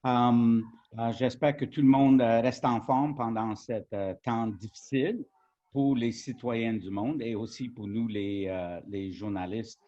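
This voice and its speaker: A man's voice: mid-range voice, steady tone, a little slow, warm tone, lo-fi sound. A man from Quebec whose first language is English, giving a journalism training webinar in French with an English-speaking accent and many hesitations. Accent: English-speaking Canadian accent in French. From Quebec, Canada. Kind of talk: webinar presentation.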